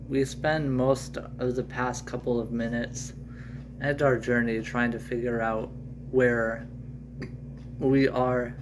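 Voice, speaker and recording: Man, amateur storyteller, halting, slow pace, average pitch, noisy humming home recording. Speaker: storyteller reading aloud. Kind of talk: amateur story read aloud with improvised dialogue.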